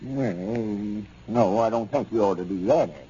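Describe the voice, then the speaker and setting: A man's voice: on the low side, normal speed, mellow, lightly acted. An actor playing a stubborn, folksy small-town businessman with a rural Southern accent in a 1930s radio comedy. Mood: conciliatory. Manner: folksy, argumentative. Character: stubborn, self-justifying small-town male business partner. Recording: noisy, muffled 1930s radio recording.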